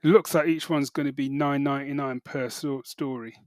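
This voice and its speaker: A man's voice: medium pitch, steady tone, brisk, a little mellow, no echo. British man, dry close podcast voice. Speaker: podcast co-host reporting news. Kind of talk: casual podcast chat.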